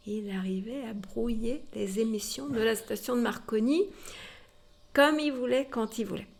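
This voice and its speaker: A woman's voice: a little high, moderate pace, creaky. A woman working as a museum guide, telling history informally and explaining directly to her listeners. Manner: explanatory, addresses listeners directly with rhetorical tags. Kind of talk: informal spoken historical storytelling to a visitor.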